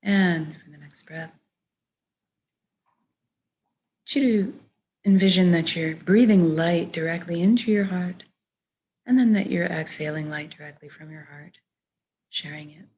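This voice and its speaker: A woman with a slightly low voice guides a meditation. Her delivery is gentle and measured, with soft phrases that trail off as she gives soothing instructions. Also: low-quality recording.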